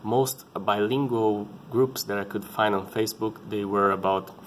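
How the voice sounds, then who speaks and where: Man in his thirties, Brazilian Portuguese accent, native Portuguese speaker using English. Low-pitched, earnest, halting delivery with frequent restarts. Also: fairly even intonation, slight background noise. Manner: halting, earnest, often restarting to find words. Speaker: parent sharing his experience. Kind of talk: casual personal vlog talk.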